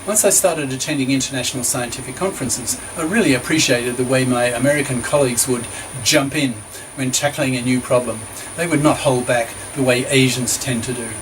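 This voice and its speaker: An older man reading his own book aloud, measured and opinionated, now and then restarting a phrase. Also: medium pitch, noisy background.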